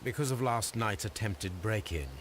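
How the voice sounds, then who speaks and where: An actor playing a shrewd middle-aged Victorian detective in a video game, speaking formal British English in a measured, assured way. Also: male voice, on the low side, a little hiss or hum, natural voice. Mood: composed, deductive. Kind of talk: scripted video game acting.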